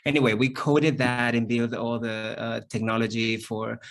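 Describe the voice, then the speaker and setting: A middle-aged man from Chile, a native Spanish speaker with a Chilean accent, lively and storytelling as a company CEO in a call interview. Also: mid-range voice, normal speed. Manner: lively, storytelling, frequent restarts. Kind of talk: business interview on a video call.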